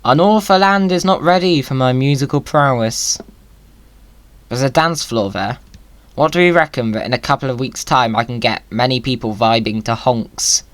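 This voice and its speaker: A man giving casual gameplay commentary as a video creator, rambling in a whimsical, self-amused way. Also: mid-range voice.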